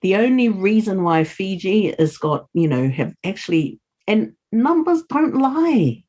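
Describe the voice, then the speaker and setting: A woman's voice: mid-range voice, very animated, a little slow, a little mellow. A woman who speaks English as a second language, talking as a podcast guest in an earnest, encouraging way. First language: non-English first language. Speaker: podcast guest, community leader. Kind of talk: casual interview talk.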